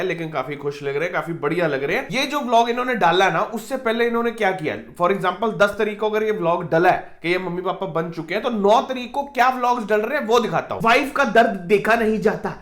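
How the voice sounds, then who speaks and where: A young man, hosting a sarcastic roast reaction and mocking in quick jabs. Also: high voice, fairly bright tone. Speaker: host of a roast reaction channel. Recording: echoey room.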